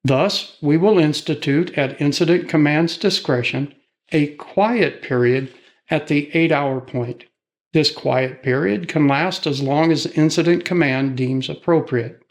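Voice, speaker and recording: Higher-pitched man narrating instructions. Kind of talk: scripted training narration.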